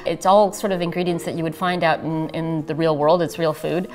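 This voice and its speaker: A woman's voice: low voice, level tone, brisk, a little mellow, soft background music. A middle-aged woman, a chef, speaking thoughtfully in a documentary interview in a living room.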